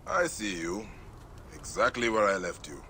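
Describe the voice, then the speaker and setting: An actor playing a rugged, weary, resolute Egyptian warrior in a video game, with a dry, wry manner. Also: male voice, lowish voice, very animated, moderate pace, bright, forceful tone, slight background noise, full character voice. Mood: wry, teasing. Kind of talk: video game cutscene acting.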